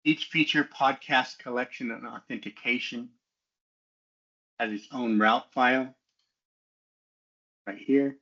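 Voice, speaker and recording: A man's voice: mid-range voice, a bit bright, muffled recording. A man giving a methodical, explanatory technical walkthrough as a student developer, in a semi-scripted presentation style.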